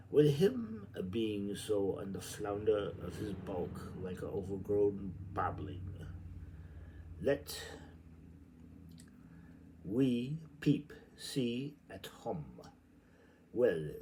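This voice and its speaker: A man with a very low voice reads a dense novel aloud in a theatrical way. His pitch swings widely and he takes his time, slipping into muttered asides. The recording is informal, with faint noise behind him. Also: dark tone, rough recording. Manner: theatrical, declamatory when reading; rambling, muttering to himself between passages. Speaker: reader of a literary novel.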